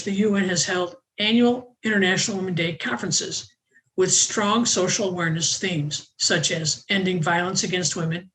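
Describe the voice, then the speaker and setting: A woman hosts an online panel and reads her welcome from a prepared script. Her delivery is measured and even, and her voice sits in a middle register. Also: mid-range voice, rough recording. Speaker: host.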